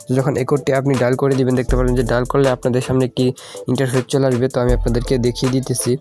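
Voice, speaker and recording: Male tutorial host, very rapid and even-toned delivery, instructional and informal, with music underneath. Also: mid-range voice. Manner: repeatedly checks in with the listener. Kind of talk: informal step-by-step video tutorial narration. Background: background music.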